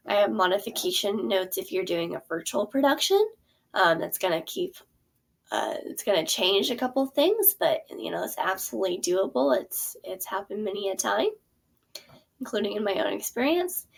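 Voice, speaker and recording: A woman's voice: mid-range voice, creaky. A young adult woman, a theater teacher, casually walking through her course plan in a chatty way with many ums and self-corrections. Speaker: theater teacher and curriculum creator. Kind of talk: casual explanatory product walkthrough.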